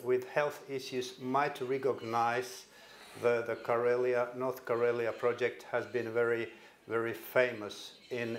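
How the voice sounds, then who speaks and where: An older man from Finland with a Finnish accent gives a conference presentation in a hall, speaking haltingly and repeating words as he restarts. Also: mid-range voice, a little hiss or hum. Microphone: stand microphone at a podium.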